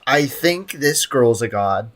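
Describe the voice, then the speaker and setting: A man's voice: mid-range voice, animated, takes it slowly, fairly bright tone. Rambling, joking man streaming a game. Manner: rambling, joking, talks to chat. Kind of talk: casual gameplay commentary.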